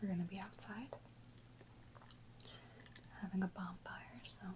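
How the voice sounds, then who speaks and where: A woman whispering softly and unhurriedly in a casual ASMR chat, close to the microphone. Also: medium pitch, steady tone, a little slow, background noise. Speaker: ASMR video host.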